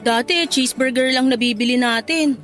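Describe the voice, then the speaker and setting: A woman's voice: high voice, normal speed, fairly bright tone, faint music behind. An actress playing a cheeky young career woman who banters with her best friend in a radio drama, teasing playfully. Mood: playful, fond. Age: young adult. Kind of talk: Tagalog radio drama acting.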